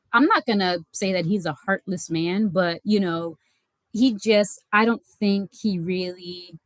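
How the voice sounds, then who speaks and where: A woman's voice: lowish voice, no room sound. A young adult woman from the United States, a life coach and channel host, talking casually and reflectively to camera with many fillers and self-corrections. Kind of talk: reflective personal talk to camera.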